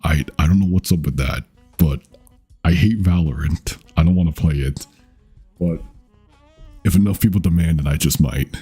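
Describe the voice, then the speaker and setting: A young man with a deep voice, chatting casually with his stream viewers in a rambling, halting way, with lots of fillers, casual swearing and frequent laughs. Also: dark tone, husky, soft background music. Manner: rambling, halting, with many fillers and self-corrections. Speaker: VTuber streamer.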